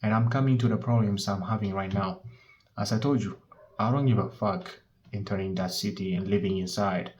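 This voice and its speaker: A man's voice: fairly low pitch, fairly even intonation, fairly quick, darkish tone, slightly rough, muffled recording. A nineteen-year-old man talking frankly to his handheld phone in a casual vlog, swearing often and restarting his sentences. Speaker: vlogger.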